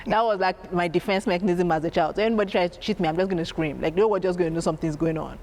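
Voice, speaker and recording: A woman with a strong, loud voice, telling stories about her family as an interview guest, animated and laughing as she acts out her parents. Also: fairly low pitch, racing. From Nigeria. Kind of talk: candid storytelling.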